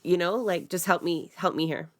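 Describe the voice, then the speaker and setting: A woman with a lowish voice hosting an interview, asking questions in a loose, conversational way. She thinks aloud as she talks, with fillers like "like" and "right?". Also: normal speed. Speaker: podcast host.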